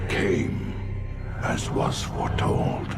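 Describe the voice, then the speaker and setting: An actor playing an ancient, weary alien warrior prophet in a game cutscene, speaking gravely in formal, archaic phrasing. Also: male voice, very slow. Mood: foreboding. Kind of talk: dramatic sci-fi game cutscene acting. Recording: loud ominous music behind.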